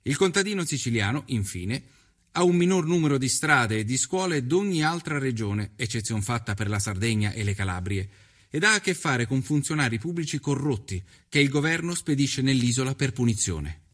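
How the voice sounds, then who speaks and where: An earnest journalist of the 1890s recounts his travels as scripted documentary narration. His delivery is measured and literary, with a middling pitch for a man. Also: sing-song, a bit bright. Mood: grave, indignant. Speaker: voice actor narrator.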